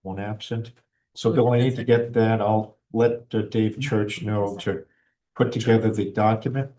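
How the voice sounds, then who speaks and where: Male meeting chair, lower-pitched voice, plain-spoken and informal. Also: steady tone, moderate pace, dark tone, a little hiss or hum. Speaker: planning board chair. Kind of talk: running a town board meeting.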